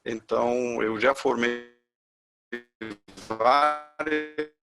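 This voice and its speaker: A man's voice: mid-range voice, extremely slow, over music. A middle-aged man from Chile with a Chilean Spanish accent, Spanish his first language, teaching a class in Portuguese with many fillers and restarts. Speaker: course instructor. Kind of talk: lecture to a class.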